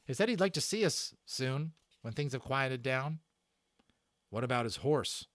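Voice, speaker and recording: A man's voice: mid-range voice, animated, a little slow, fairly bright tone, no room sound, studio quality. A man voicing an eager, friendly schoolboy in a storybook, with a casual, chatty manner. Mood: chatty, amused.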